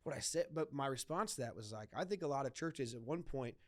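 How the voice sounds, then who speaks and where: A young man co-hosting a casual church talk, eager and affirming, jumping quickly into his own points. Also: mid-range voice, lively intonation. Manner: eager, affirming, rapid-fire. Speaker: church staff member and podcast co-host. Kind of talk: casual conversational discussion.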